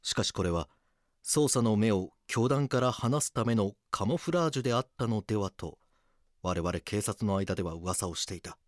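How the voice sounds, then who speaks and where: An actor playing a grave, weary police detective, narrating a case in the first person with serious, measured delivery. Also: male voice, fairly low pitch, expressive, no echo, clean studio sound, lightly acted.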